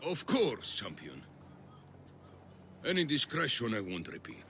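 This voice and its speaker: Gravelly, sweeping, scheming demagogue. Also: male voice, medium pitch, wildly swooping pitch, measured pace, sharp tone, a little hiss or hum, heavily acted. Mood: mock deference. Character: scheming male political demagogue, commanding and self-righteous. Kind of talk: video game cutscene acting.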